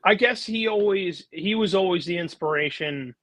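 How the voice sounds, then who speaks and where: A man's voice: sits high, moderate pace, a bit bright. A young man chatting casually as a musician guest in an interview, informal and easygoing. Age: young adult. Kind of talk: casual chat.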